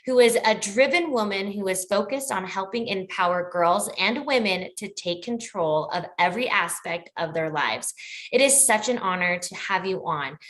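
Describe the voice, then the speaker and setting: A woman's voice: medium pitch, moderate pace, a bit bright. A woman hosting a podcast, giving an upbeat, gushing introduction to her guest. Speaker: podcast host.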